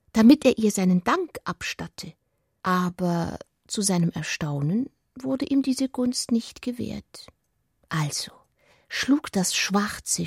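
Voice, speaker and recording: A woman reading literary narration for a radio drama in a breathy voice that often drops near a whisper. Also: mid-range voice, a little mellow, dry recording, clean studio sound.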